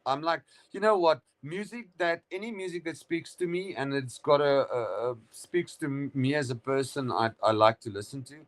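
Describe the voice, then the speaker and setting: Middle-aged man, stream guest, loose rambling chat with lots of ums and restarts, mid pitch. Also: normal speed, hardly any pauses. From South Africa. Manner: rambling, full of fillers and restarts, says "brother". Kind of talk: casual chat on a live stream.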